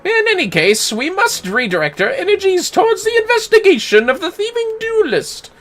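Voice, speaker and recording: A man voicing a pompous, eager and excitable gentleman inspector in a video game, with flowery, theatrical delivery. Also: very high voice, normal speed, soft background music, pitched above the performer's own voice, full character voice. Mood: flustered, earnest. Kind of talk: video game dialogue read aloud.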